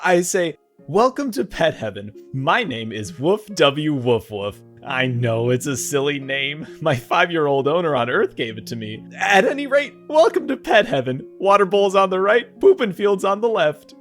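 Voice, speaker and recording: An actor voicing an eager, affectionate dog in an audio play, gushing and excitable. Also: mid-range voice, normal speed, soft background music, lightly acted. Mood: cheerful, sincere. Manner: gushing, excitable, breathy gasps and laughs. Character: eager, affectionate dog with human speech in pet heaven.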